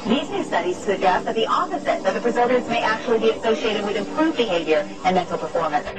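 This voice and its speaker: A female news anchor reads a script at a quick clip. She sounds slightly distant, like a broadcast clip, with loud music behind her. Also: medium pitch, animated.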